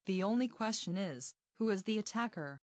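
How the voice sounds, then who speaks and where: A woman's voice: mid-range voice, animated, normal speed, darkish tone, no echo, lightly acted. Woman playing a composed official, dry sound. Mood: measured concern. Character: composed, wry female Foundation council member. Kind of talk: dramatic meeting dialogue.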